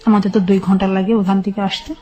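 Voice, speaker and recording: Dark-voiced Bangladeshi woman recounting her life. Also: mid-range voice, level tone, quick pace. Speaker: guest telling her life story. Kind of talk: personal storytelling in an interview.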